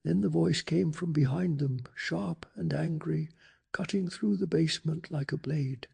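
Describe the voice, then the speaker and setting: A man softly narrating a bedtime story in an ASMR style, with a calm, hushed, breathy delivery and some gravel in the voice. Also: fairly high pitch. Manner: slow, calming, hushed delivery. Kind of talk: soft-spoken ASMR storytelling.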